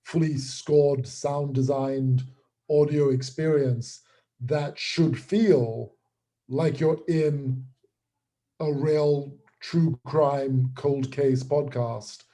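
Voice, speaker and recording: A man is being interviewed as a creator and explains his work. His voice sits at an average pitch with a very mellow, dark and rounded tone. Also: steady tone, very slow.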